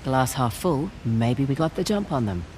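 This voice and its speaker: A cocky game treasure hunter woman, dry teasing banter, pitch moves around a lot, loud vehicle and ambience noise behind. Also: lively intonation, moderate pace. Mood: wry, focused. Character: wry, confident adult woman treasure hunter and thief. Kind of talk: naturalistic video game acting.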